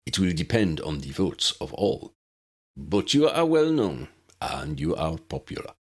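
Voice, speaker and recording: Man acting a deep, solemn government minister. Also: big pitch swings, breathy edge, exaggerated voice. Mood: grave, measured. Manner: measured, deliberate, formal.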